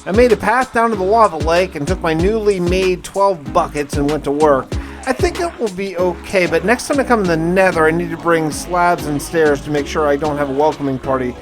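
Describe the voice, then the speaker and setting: A man's voice: fairly high pitch, expressive, brisk, music in the background. A man giving a casual first-person gameplay narration in a conversational, informal, musing way. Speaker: gaming video narrator.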